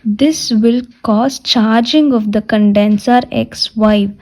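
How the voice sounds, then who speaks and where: A woman giving a step-by-step technical lecture, restating her points deliberately as she explains. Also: on the high side, darkish tone. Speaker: physiotherapy lecturer.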